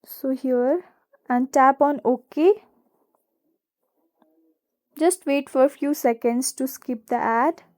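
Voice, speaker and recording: A woman's voice: sits high, takes it slowly, long pauses, darkish tone. A woman hosting a tutorial, narrating scripted how-to instructions step by step. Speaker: tutorial host.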